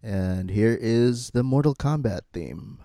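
A man hosting a podcast in casual, halting chat peppered with profanity. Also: mid-range voice, lively intonation, mellow. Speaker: podcast host.

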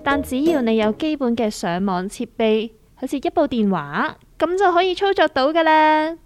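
A woman's voice: high voice, very animated, normal speed, soft background music. A woman with a Hong Kong accent playing a self-assured, trend-chasing small investor who explains things showily in a comic radio drama. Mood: explaining.

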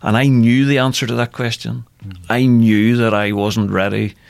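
A man's voice: mid-range voice, level tone, moderate pace, gravelly, dry recording, studio quality. A man with a Northern Irish accent giving personal testimony as a radio guest, speaking earnestly and repeating phrases for emphasis. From Northern Ireland. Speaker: interview guest, ministry worker. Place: radio studio.

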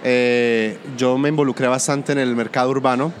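A man from Colombia with a Colombian-Venezuelan border accent, a music producer talking through his work in a relaxed interview, pausing and restarting his sentences. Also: mid-range voice, slight background noise. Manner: thoughtful, restarts often. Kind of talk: casual interview conversation.

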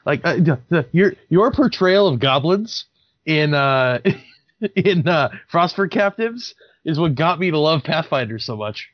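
A man's voice: on the high side, unhurried, no room sound. A man in his thirties, a game master, chatting casually and animatedly, sometimes slipping into voices. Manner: animated, slips into character voices. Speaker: podcast co-host and game master. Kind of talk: casual chat. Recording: dry, dull lo-fi sound.

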